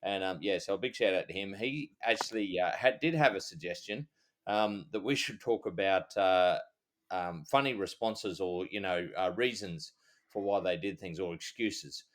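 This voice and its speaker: A middle-aged Australian man, a former cop co-hosting a podcast, chatting in a rambling, halting, easygoing way. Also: low voice. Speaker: podcast co-host, former police officer. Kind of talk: casual chat.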